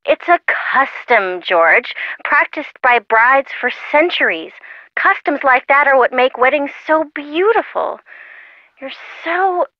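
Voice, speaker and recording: An actress playing a girly, sentimental young woman, expressive and fussy, chiding her friends through a telephone filter. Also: high voice, takes it slowly, no echo. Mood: exasperated, sentimental. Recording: muffled, lo-fi phone-call sound.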